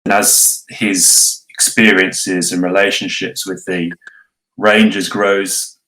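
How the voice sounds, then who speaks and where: Male voice actor, notably low pitch, measured and reflective in relaxed interview conversation. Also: low-quality recording. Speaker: voice actor, cast member. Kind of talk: casual interview talk. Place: online voice call.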